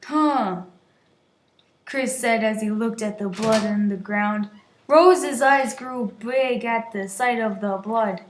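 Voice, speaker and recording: Female amateur story reader, high voice, unhurried, prone to stumbles and giggly asides, roomy echoing sound. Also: slight background noise. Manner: giggly, stumbling over words, shouting the quoted lines. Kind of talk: dramatic reading of a story, with stumbles and casual asides. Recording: roomy, echoey voice recording.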